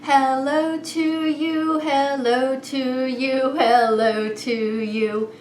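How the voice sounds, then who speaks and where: A woman's voice: sits high, flat delivery, very slow, soft background music. A woman preschool teacher hosting story time, talking straight to young children with simple questions in a playful, singsong way. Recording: roomy echo, clear background noise.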